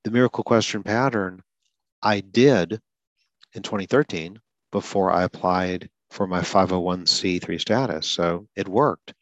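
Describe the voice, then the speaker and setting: A man who hosts a podcast for people who stutter, calmly talking through a goal-setting exercise with some small repetitions. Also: low-pitched, unhurried, no echo. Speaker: podcast host and stuttering advocate. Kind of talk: solo podcast explanation.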